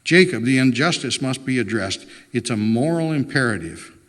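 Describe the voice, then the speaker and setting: A man's voice: medium pitch, animated, normal speed, long reverb tail, close to an everyday voice. A man voicing an earnest, morally insistent wife in a storybook reading, impassioned and pleading with her husband. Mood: impassioned, indignant.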